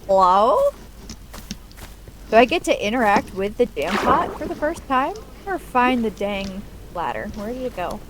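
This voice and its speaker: A woman's voice: on the high side, big pitch swings, measured pace, very noisy. A woman streamer chatting casually while she plays a game. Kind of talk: casual live-stream chat.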